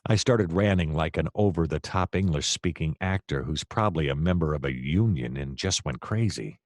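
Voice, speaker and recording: An actor playing a hapless, excitable private detective in a comedic noir audio play, rambling and theatrical in his exasperation. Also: extremely low pitch, moderate pace, dry recording, studio quality. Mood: dejected, rambling.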